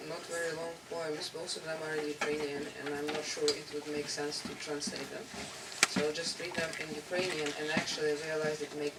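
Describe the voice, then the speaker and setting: Higher-voiced man in a noisy echoey room. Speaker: workshop participant reading poems. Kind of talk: casual intro then poetry reading. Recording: echoey room, distant mic, loud background noise.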